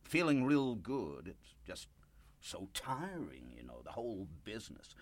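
A needy, pushy man with big business hopes played by a male actor in a naturalistic radio play. His voice is low and very slow, halting and nervously insistent, with a lively rise and fall as he wheedles. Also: no room sound, exaggerated voice. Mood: strained cheer, weary. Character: needy, pushy, anxious small-business hopeful. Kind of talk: naturalistic radio drama dialogue.

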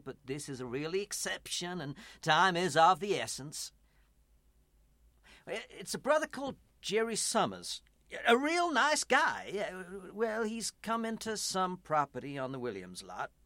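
A slick radio play preacher, persuasive salesman type, highish lively voice. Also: unhurried, no echo, exaggerated voice. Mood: excited, persuasive. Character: eager, smooth-talking evangelical pastor with an uneasy conscience. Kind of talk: radio drama acting.

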